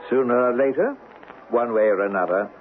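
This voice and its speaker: A man's voice: mid-range voice, fairly quick, lightly acted. Man acting a boastful, insistent captain on tinny radio. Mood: stubborn, menacing. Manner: insistent, self-satisfied. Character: boastful, persistent army captain wooing a reluctant lady. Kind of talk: old-time radio drama acting. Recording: narrow, tinny radio broadcast, music behind.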